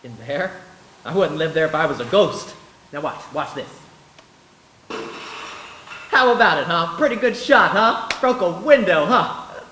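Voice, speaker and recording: A man playing an eager, restless young small-town man in a live radio play, folksy and excitable. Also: fairly high pitch, a little slow, long pauses. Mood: boyish, eager. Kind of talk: live 1940s-style radio play acting. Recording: echoey stage, background noise.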